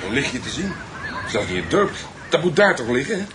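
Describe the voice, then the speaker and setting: An actor playing a dry, sardonic, grumbling husband in a Dutch radio drama. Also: male voice, medium pitch, sing-song, fairly quick, noisy background, close to an everyday voice. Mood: irritated.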